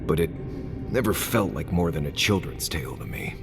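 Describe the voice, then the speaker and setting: An actor playing a guarded but loyal scout in a video game, speaking in a dry, understated, sardonic way. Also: male voice, extremely low pitch, lively intonation, normal speed, over music. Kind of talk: video game dialogue acting.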